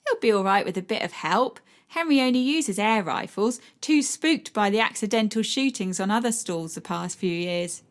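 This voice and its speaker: A woman's voice: medium pitch, lively intonation, normal speed, few pauses, lightly acted. Woman doing a chatty, brash fairground girl. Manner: chatty, brash, dialect grammar. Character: cheerful cheeky young fairground woman. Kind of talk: audiobook character voice.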